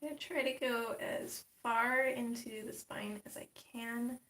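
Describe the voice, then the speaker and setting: A woman's voice: on the high side, fairly even intonation, a little slow, a bit bright. Chatty woman livestreaming in an echoey room. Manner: chatty, greets viewers by name, thinks aloud. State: getting over a cold with a slight cough. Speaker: livestream host. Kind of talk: casual livestream chat while coloring. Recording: roomy echo.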